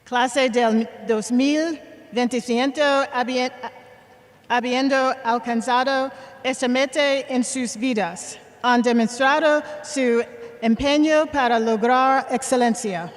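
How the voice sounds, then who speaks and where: A woman speaking as a college official leading a commencement, in a formal address style. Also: sits high. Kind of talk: formal ceremony address with casual asides. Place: large indoor arena at a commencement. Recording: echoey large arena, long reverb.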